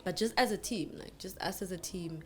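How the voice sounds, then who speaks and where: A woman's voice: fairly low pitch, a little fast, darkish tone, a little hiss or hum. A young woman co-hosting a podcast, talking in a chatty, rambling way with many restarts. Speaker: podcast co-host. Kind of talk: casual chat.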